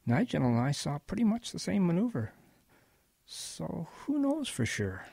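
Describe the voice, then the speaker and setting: A man playing a wistful old grandfather in an audio drama, telling his wartime boyhood in a gentle, reflective way with a husky voice. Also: on the high side, huge pitch swings, unhurried, no echo, heavily acted.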